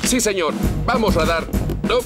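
An actor playing a calm, capable firefighter in a Latin American Spanish children's cartoon dub, earnest and reassuring. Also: male voice, sits high, normal speed. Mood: businesslike. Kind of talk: dubbed children's cartoon acting. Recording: loud music behind the voice.

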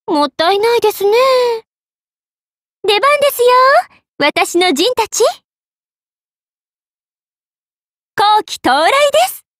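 A woman's voice: extremely high pitch, lively intonation, unhurried, dry recording, clean studio sound. A woman voicing a playful, money-loving young half-spirit merchant sorceress in an anime game, with a teasing, polite lilt. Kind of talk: Japanese anime game character voice acting.

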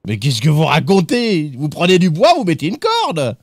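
A man playing a pompous, eccentric gentleman in a roleplay game, theatrical and mock-offended. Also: on the high side, huge pitch swings, moderate pace, dry recording, heavily acted. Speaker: streamer. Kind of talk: in-character roleplay.

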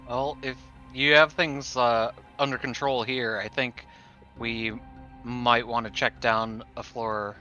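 A man's voice: medium pitch, little pitch movement, takes it slowly, bright, forceful tone, faint music behind. A man playing a tabletop role-playing game, speaking casually and hesitantly in character, on a dull microphone. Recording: dull, band-limited microphone sound.

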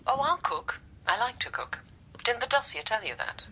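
An actress playing a brisk, probing, coolly polite woman scientist in a radio drama, heard through a telephone. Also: a little high, sing-song, bright, forceful tone, heavily acted. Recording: telephone line with clear background noise.

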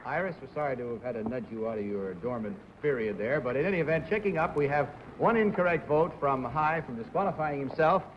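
Man, 1950s game show host, lively intonation, muffled old TV audio. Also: mid-range voice, a little fast, few pauses, background noise. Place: TV studio.

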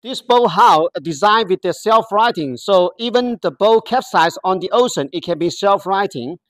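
Halting voice explaining a product step by step. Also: mid-range voice, animated, no room sound. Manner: halting, careful explanatory delivery in non-native English. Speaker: product demonstrator. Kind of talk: product walkthrough.

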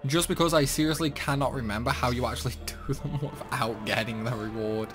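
Casting: young male gaming host, relaxed chatty commentary, faint game music underneath. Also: mid-range voice, a little fast. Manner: chatty, self-deprecating, exclaims at mistakes. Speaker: gameplay channel host.

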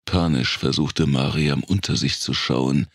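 A man with a deep, gravelly, husky voice reads a dark thriller audiobook in a measured, deliberate storytelling manner. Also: dry recording, clean studio sound. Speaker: audiobook narrator. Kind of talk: scripted narration of a dark post-apocalyptic thriller audiobook.

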